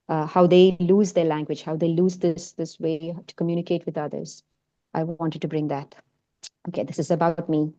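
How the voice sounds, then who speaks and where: Malayali woman w/ indian-american accent, low mellow voice, lots of ums, dull lo-fi call audio. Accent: mixed Indian and American. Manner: hesitant, many fillers and restarts. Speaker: filmmaker presenting her work. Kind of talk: informal talk in an online session.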